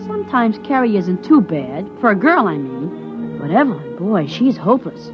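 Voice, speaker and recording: A woman's voice: mid-range voice, sing-song, normal speed, close to an everyday voice. A woman playing a bored, wisecracking young boy who narrates the story in a 1950s radio drama. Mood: grumbling. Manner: wisecracking, sulky kid narrating. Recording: dull vintage radio recording with music.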